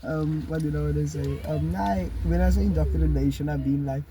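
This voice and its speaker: A man's voice: fairly high pitch, brisk, a crowd in the background. A man, co-hosting a casual podcast, laid-back and musing, often trailing off mid-thought. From South Africa. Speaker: podcast co-host.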